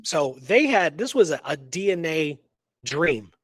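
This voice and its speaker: A man's voice: on the high side, very animated, unhurried, a bit bright, husky, no room sound, studio quality. A man co-hosting a radio show in casual discussion, musing aloud with rhetorical questions and tags seeking agreement. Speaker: co-host of a history radio show. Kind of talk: casual conversational discussion of a historical mystery.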